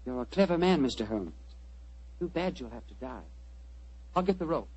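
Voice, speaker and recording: A man's voice: mid-range voice, lively intonation, normal speed, darkish tone, husky, muffled recording. An actor playing a cold, ruthless henchman in an old radio drama, smug and threatening. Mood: cool menace. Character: cold, ruthless male henchman, coolly mocking. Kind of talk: 1940s radio drama acting.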